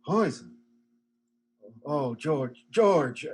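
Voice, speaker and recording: Radio play: man as drunken, grieving druggist, slow and menacing, a bully. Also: on the high side, animated, breathy edge, heavily acted. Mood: stunned. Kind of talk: old-time radio play acting.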